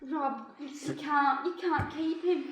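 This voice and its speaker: A woman as a high-voiced melodramatic robot smuggler woman. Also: fairly even intonation, slow, slight background noise. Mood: pleading. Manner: melodramatic, pleading. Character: wounded, defiant robot woman running a dog smuggling ring. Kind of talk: improvised comic radio play acting. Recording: roomy echo, music underneath.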